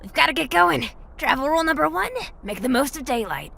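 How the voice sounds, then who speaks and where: A woman playing a tough, protective old adventurer with a raspy voice in an audio drama. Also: high-pitched, lively intonation, a little fast, a bit bright, full character voice. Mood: bossy, urging. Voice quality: raspy, gravelly.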